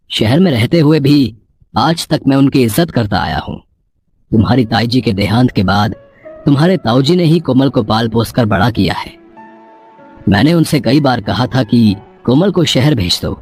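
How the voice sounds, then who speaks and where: Man acting middle-aged father, husky voice, medium pitch, earnest, weary, admonishing, storybook drama. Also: darkish tone.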